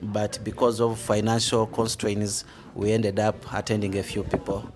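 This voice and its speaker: A man's voice: on the low side, level tone, moderate pace, darkish tone. A man from Uganda with a Ugandan accent, a pastor answering interview questions in earnest, plain words. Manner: plain, earnest, repeats "we are blessed".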